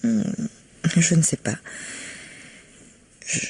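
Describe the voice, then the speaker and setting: An actress playing a genteel, scheming wife in a 1950s-style French radio mystery, with a husky voice. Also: female voice, very slow, mellow. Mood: anxious, confiding. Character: genteel, scheming wife feigning anxious worry.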